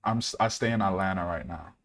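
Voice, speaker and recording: A young man from Ghana with a deep, low voice talks through a live trading stream in a relaxed, casual way. He fills pauses with "like" and "um" and answers viewers' chat questions as they come in. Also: vocal fry. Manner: relaxed, laid-back, many fillers, reads out chat questions. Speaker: day trader streaming live.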